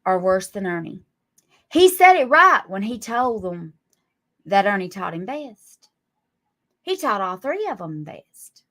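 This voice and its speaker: A woman's voice: mid-range voice, big pitch swings, unhurried, pauses often, fairly bright tone. Woman in her forties, scolding. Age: 40s. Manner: scolding, sarcastic, drawling. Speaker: livestream guest. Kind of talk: live stream rant at critics.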